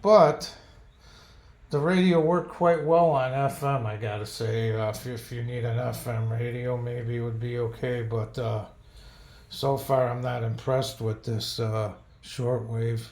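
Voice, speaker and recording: A man with a gravelly, creaky voice reviews a product at a slow pace. He rambles casually, thinking aloud as he goes. He tends to grumble about whatever he dislikes. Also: mid-range voice, steady tone, darkish tone, reverberant. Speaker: radio reviewer.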